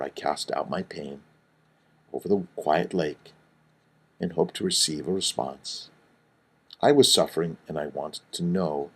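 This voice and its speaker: A middle-aged man, a doctor, reading his own book aloud in an earnest, emphatic way, with wide swings in loudness. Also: very deep voice, slightly rough. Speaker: fertility doctor and author.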